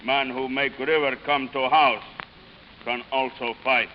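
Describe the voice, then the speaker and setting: Man playing a slow, menacing island headman, muffled old recording. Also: medium pitch, level tone, a little hiss or hum, natural voice. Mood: challenging. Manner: broken pidgin English, blunt and commanding. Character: hostile, proud island village headman challenging a rival. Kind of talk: 1940s radio drama acting.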